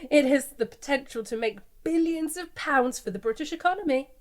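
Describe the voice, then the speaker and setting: Woman playing a breezy, high-voiced evasive spokeswoman. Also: animated, fairly quick. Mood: evasive, enthusiastic. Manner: airy, confident, glossing over problems. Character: breezy, evasive female company spokeswoman pitching an absurd scheme. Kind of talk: satirical mock news interview acting.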